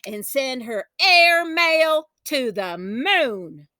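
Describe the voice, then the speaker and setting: A woman's voice: high-pitched, very animated, drawn-out words, pushed and bright, no echo, clean studio sound, pitched above the performer's own voice, heavily acted. A woman voicing a hot-tempered, indignant country girl from a children's storybook, with folksy, dramatic delivery. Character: feisty, hot-tempered country girl who vows revenge.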